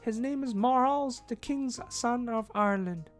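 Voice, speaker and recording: A woman's voice: a little high, a little slow, voice pushed up, natural voice. A woman voicing an earnest, aggrieved medieval damsel with formal archaic speech in a storybook. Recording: faint music behind the voice.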